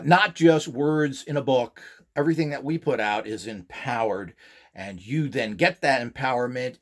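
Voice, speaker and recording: A man giving an informal explainer talk as an instructor and seller, lecturing in an opinionated, emphatic way. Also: mid-range voice. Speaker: instructor and seller of esoteric devices and courses.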